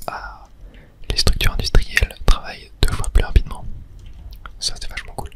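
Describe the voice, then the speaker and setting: A gaming streamer speaks entirely in a soft, breathy whisper. The delivery is ASMR gameplay commentary, murmured as thinking aloud, with no voiced tone. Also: moderate pace.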